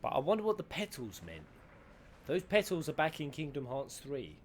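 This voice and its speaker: An excitable man gives a casual live reaction to a video game. His voice sits fairly high and swings widely as he thinks aloud in questions, with faint noise and game sound behind him. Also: moderate pace.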